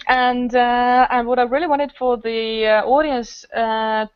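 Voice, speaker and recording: A woman's voice: fairly high pitch, takes it slowly, smooth, no echo, dull audio. A woman chatting earnestly on a podcast, explaining things in a rambling way. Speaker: podcast guest, improv festival organizer. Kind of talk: casual podcast discussion.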